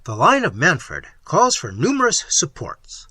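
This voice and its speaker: A man's voice: on the high side, huge pitch swings, a little slow, husky, no echo, studio quality, full character voice. A man doing an imperious tyrant prince. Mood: cold scheming. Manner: loud, commanding, forceful. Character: tyrannical lordly middle-aged prince, imperious.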